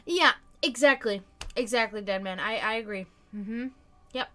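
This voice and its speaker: A woman in her thirties hosting a casual live stream, talking frankly and reflectively and often letting her sentences trail off quietly. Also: a little high, normal speed. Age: 30s. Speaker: stream host.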